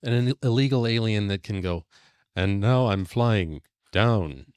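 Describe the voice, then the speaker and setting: Man, low pitch, dry recording, podcast co-host, casual conversation. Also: measured pace, a little mellow, clean tone, no echo. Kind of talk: casual chat.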